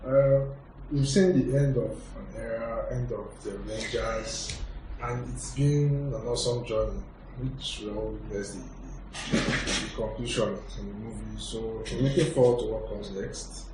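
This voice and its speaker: A man's voice: medium pitch, steady tone, a little slow. A man on a casual review panel, talking in an easygoing, informal way. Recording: echoey room with faint noise.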